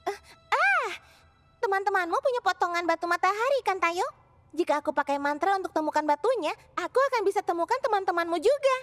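A little witch girl from a children's cartoon, has an extremely high, girlish voice. She sounds earnest, caring and determined, with clear music underneath. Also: lively intonation, fairly quick, a bit bright, no echo. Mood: thoughtful, determined. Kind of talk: children's animated cartoon dub. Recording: music behind the voice.

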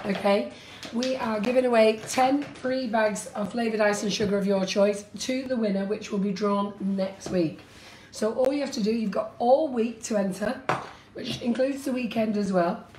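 A woman with a husky voice hosting a live baking stream, chatty and brisk. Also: a little high, noisy background. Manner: laughs a lot, brisk and chatty. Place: large home-style kitchen. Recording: echoey room, kitchen clatter.

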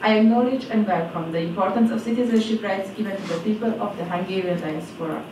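A young woman from Transylvania reading a prepared speech from cards at a podium microphone on an auditorium stage, with her phrases falling in pitch at their ends. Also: fast talker, far from the mic. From Transylvania, Romania. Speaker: speaker at a presentation event. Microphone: stand mic at a podium. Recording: echoey hall, voice from off-mic, audible noise.

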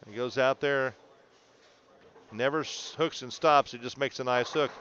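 A man's voice: medium pitch, a little slow, fairly bright tone, dull audio, slight background noise. A man coaching a student through video analysis in an encouraging, explanatory way, often checking in. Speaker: bowling coach.

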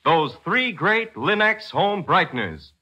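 Bright, forceful 1940s American radio announcer man. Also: high voice, very animated, slow, breathy edge. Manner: dramatic, emphatic, polished salesmanship. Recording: 1940s radio broadcast with music.

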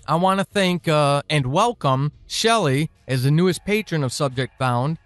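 A male podcast host with a slightly high voice talks informally and earnestly to his audience. His phrasing is deliberate and appreciative. The sound is dry and close, with faint music behind it. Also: no echo. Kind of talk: informal listener thanks and announcements.

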